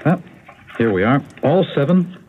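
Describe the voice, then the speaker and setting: An actor playing a steady, matter-of-fact husband in a 1970s radio mystery. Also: male voice, medium pitch, moderate pace, lightly acted. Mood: calm, concerned. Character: steady, apologetic husband helping solve a mystery. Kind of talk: 1970s radio drama acting. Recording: dull, noisy vintage radio broadcast.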